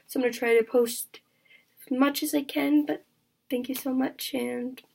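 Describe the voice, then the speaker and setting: A young woman in her twenties is talking openly with her vlog audience. Her voice sits high and has a gravelly, raspy edge. She speaks in halting stretches, full of fillers and restarts. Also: fairly even intonation, darkish tone. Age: 20s. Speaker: vlogger. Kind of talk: candid personal vlog chat.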